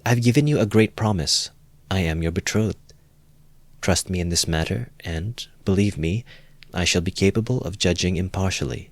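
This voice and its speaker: A man voicing an earnest, resolute young woman speaking with measured feeling. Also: deep voice, no echo. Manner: earnest and deliberate.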